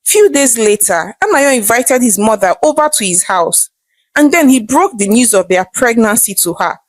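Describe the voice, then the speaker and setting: A woman's voice: fairly high pitch, expressive, normal speed, no room sound. A woman with a Nigerian accent telling a story in a dramatic oral style as host.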